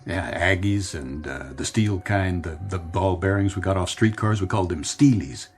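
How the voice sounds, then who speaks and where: Man playing a low, wistful middle-aged businessman. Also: normal speed, faint music behind, natural voice. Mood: reminiscing. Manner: wistful, musing. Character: weary, nostalgic middle-aged New York businessman. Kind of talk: radio drama acting.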